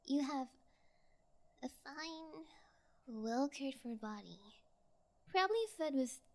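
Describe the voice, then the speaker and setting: A woman playing a cocky, callous kidnapper in an audio roleplay, with a flippant, teasing, drawn-out delivery. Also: high-pitched, lively intonation, a little mellow, full character voice. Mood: sinister, teasing. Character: cocky, callous sociopathic female yangire kidnapper, flippant and taunting. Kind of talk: ASMR audio roleplay acting.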